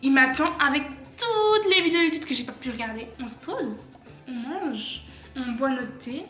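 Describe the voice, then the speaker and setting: A woman's voice: high voice, lively intonation, normal speed, fairly bright tone, faint music behind. A young woman from Belgium vlogging casually at home, tired and chatty. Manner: chatty, tired, says genre a lot. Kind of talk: casual vlog chat.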